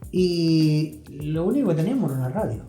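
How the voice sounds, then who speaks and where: Mellow-voiced Argentine man, reflective storyteller. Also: fairly high pitch, very animated, a little fast. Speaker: interview guest. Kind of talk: reflective conversational storytelling and explanation. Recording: roomy echo, faint music.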